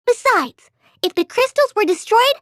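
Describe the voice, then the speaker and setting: A woman's voice: extremely high pitch, animated, moderate pace, a bit bright, no echo, studio quality. A woman voicing a tiny, bossy, impatient fairy guide in a video game, chirpy and emphatic. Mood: indignant, flustered. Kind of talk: English video game voice acting.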